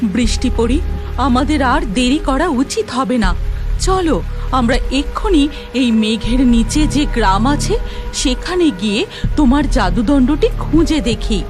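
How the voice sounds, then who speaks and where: Woman as a high-voiced gentle cloud fairy. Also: expressive, measured pace. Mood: earnest. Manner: earnest, advising. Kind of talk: children's cartoon voice acting. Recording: music behind voice.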